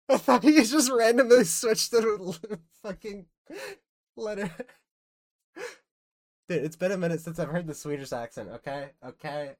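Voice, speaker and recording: A woman's voice: fairly low pitch, measured pace, long pauses, a little mellow. A woman chatting casually while playing a game with friends, swearing, laughing and stumbling over her words. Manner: swearing, laughing, rambling restarts. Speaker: streamer. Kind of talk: casual gaming chat with friends.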